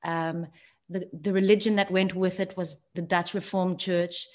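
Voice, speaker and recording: A woman from South Africa whose first language is Afrikaans tells her personal story as a guest. She speaks softly and reflectively, sometimes pausing to restart her sentences, in a slightly low voice. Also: level tone. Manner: soft-spoken, reflective, some halting restarts.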